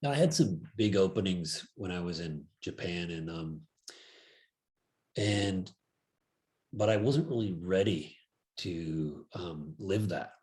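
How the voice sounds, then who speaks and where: A man in a reflective group conversation, speaking slowly and hesitantly with many pauses and ums. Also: deep voice, expressive, darkish tone.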